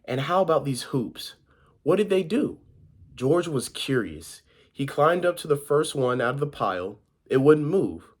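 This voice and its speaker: A man's voice: fairly high pitch, very animated, a little slow. A man reading a children's story aloud as a volunteer, informal and occasionally stumbling over his words.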